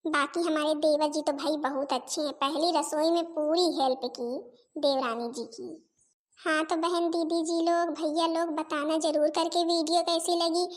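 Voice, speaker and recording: A woman's voice: high voice, steady tone, normal speed, close to an everyday voice. A woman voicing put-upon Indian daughters-in-law in a family comedy cartoon, with a complaining, pleading manner. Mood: chatty. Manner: complaining, pleading, addresses relatives and viewers directly.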